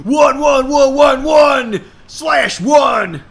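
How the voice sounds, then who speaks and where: A man voicing a furious overprotective father with strained, exaggerated shouting in a fan-fiction parody. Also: very high-pitched, very slow, creaky, pitched above the performer's own voice, exaggerated voice. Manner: bellowing, exaggerated outrage. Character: furious overprotective redneck father, loud and menacing.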